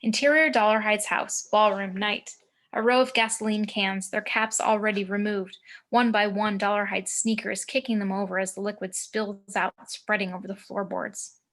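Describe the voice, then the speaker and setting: A woman's voice: medium pitch, steady tone, normal speed, a bit bright. A woman reading screenplay action as narrator, with dramatic, clipped phrasing, recorded over a home video call.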